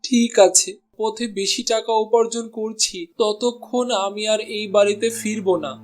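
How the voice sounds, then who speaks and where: High-voiced, earnest cartoon butcher played by a man. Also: steady tone, normal speed, natural voice. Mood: meek, pleading. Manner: pleading, earnest. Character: honest, hard-pressed village butcher and meek husband. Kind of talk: Bengali cartoon voice acting.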